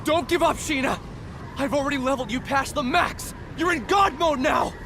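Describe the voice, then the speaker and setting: An actor playing an urgent young male ally who shouts pleading encouragement to the heroine. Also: very high voice, moderate pace, crowd noise, noisy background. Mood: encouraging. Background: game music.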